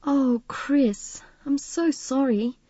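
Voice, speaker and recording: A woman voicing a warm, excitable teenage girl in an audiobook, eager and concerned. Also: sits high, measured pace, dry recording, highs cut off, voice pushed up. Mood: sympathetic.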